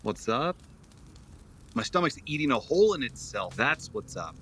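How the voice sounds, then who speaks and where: A man's voice: mid-range voice, big pitch swings, normal speed, fairly bright tone, a little hiss or hum. An actor playing a sarcastic, resentful man in recovery from addiction, blunt and defensive, in an audio drama. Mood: hungry, offhand.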